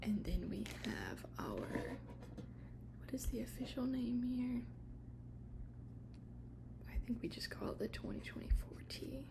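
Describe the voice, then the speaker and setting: Whispering woman, soft ASMR roleplay. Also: on the high side, sing-song, takes it slowly, gravelly, pauses often. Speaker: ASMR creator playing a tea shop clerk.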